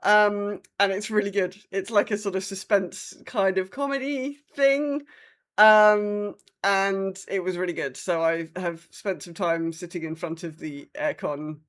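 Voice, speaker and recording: A woman's voice: medium pitch, measured pace. A woman co-hosting a gaming podcast with casual, hesitant, rambling chat. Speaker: podcast co-host.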